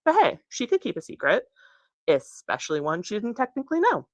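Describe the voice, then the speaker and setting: A woman's voice: mid-range voice, wildly swooping pitch, a little fast, dry recording. Woman reading a novel aloud, dry sound. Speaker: author reading from their book. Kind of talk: narration of a young adult novel read aloud.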